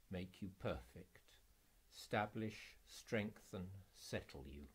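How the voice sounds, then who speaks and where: A man reading scripture and prayer for an Anglican service in a formal, measured, solemn manner. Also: low-pitched, darkish tone, breathy edge. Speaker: reader in an Anglican church service.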